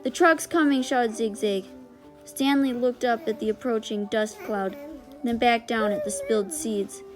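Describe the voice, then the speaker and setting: Higher-voiced woman narrating over music. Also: very animated, measured pace, a little mellow. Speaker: read-aloud narrator. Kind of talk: scripted storybook narration.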